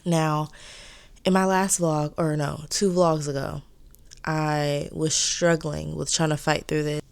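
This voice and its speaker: A woman's voice: low-pitched, level tone, slow, gravelly, smooth. A young woman vlogging in a casual, chatty way, sounding congested and stuffy, rambling and repeating herself. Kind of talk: casual vlog chat.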